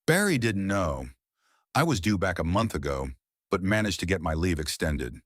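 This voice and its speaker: A man acts out a middle-aged English doctor from an old pulp tale. He is dry and good-humored, speaking in wry understatement. The voice sits low with animated intonation. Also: normal speed, no echo, heavily acted.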